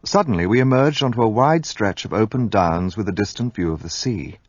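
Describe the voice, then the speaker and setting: A man's voice: lowish voice, expressive, fairly quick, no room sound, muffled recording. An actor voicing an observant Englishman who narrates the story in past tense. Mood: narrating. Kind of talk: radio drama narration.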